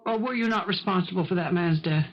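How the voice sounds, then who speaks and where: A woman's voice: on the low side, fast talker, close to an everyday voice. Woman playing nosy blunt maid, old radio mystery acting, pointed questions, kinda muffled lo-fi sound. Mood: probing, insistent. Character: blunt, probing maid who speaks her mind. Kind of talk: 1970s radio drama acting. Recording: dull 1970s radio broadcast recording.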